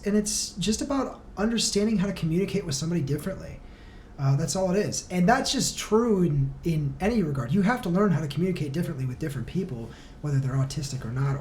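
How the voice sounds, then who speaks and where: A man's voice: a little high, darkish tone, a little hiss or hum. A man talking casually as a podcast guest, earnest and reflective, feeling his way through his phrasing. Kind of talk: casual conversational interview talk.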